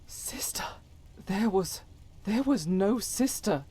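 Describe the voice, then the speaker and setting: A woman playing a devout warrior in a game mod, earnest and solemn, with halting formal phrasing and breathy whispers. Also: mid-range voice, expressive, warm tone. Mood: wary, disbelieving. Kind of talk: dramatic video game mod voice acting.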